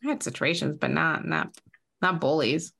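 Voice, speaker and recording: A woman co-hosting a podcast in casual chat, talking in rambling, animated bursts. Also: deep voice, normal speed, gravelly. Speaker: podcast co-host.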